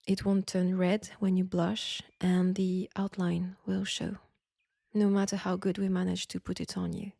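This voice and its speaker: A woman with a French accent playing a caring but firm wartime army nurse in an intimate roleplay, speaking softly and breathily in a coaxing way. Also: medium pitch, no echo, studio quality. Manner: soft, breathy, gently coaxing. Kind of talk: intimate roleplay audio acting.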